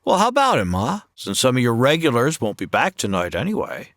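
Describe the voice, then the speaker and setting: A man playing an easygoing drifter gunslinger with a relaxed, hesitant way of talking, in a comedy audio drama. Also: mid-range voice, a little fast, no room sound, studio quality. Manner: laid-back, hesitant fillers.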